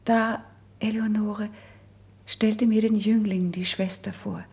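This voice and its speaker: An actress playing a melancholy, resigned young princess who has been sickly since youth, in a classical verse radio drama, with a breathy, husky, hushed and measured delivery. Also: female voice, medium pitch, steady tone, heavily acted. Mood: wistful, confessional.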